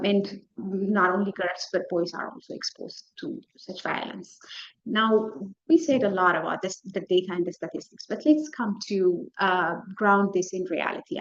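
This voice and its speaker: A woman physician gives a medical slide lecture in an informal, conversational way. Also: fairly low pitch. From Ethiopia. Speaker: physician presenting a case lecture. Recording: dull, lo-fi lecture audio.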